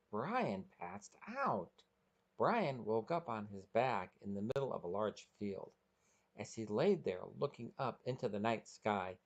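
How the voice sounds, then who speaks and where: A man's voice: medium pitch, creaky. A man hosting a podcast and narrating a short story from a script.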